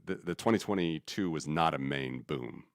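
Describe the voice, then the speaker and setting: Very deep-voiced man, rambling commentary. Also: normal speed, vocal fry, no echo, studio quality. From United States. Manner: rambling, restarts, thinks aloud, opinionated. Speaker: podcast host. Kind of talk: casual economics commentary.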